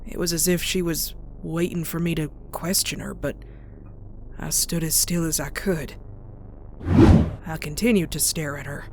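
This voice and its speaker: A woman voicing a young country pony, frightened but stubborn, narrating a horror story in a hushed, folksy, suspenseful way. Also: low-pitched, slight background noise. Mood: creeping dread. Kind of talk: dramatic first-person horror narration.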